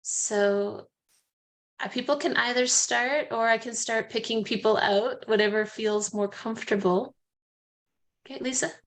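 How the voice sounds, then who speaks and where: A woman with a fairly high voice leads a relaxed online group chat. She guides people through introductions in an easy, unhurried way, heard over a video call. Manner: friendly, unhurried facilitating. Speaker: host.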